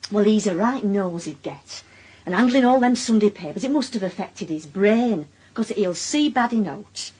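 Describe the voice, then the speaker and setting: Harried Northern English mother, very animated. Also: mid-range voice, normal speed, husky, rough recording. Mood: confiding, cautious. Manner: hesitant, evasive, colloquial. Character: harried, defensive working-class Northern mother, evasive and anxious. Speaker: actress. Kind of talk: naturalistic radio drama dialogue.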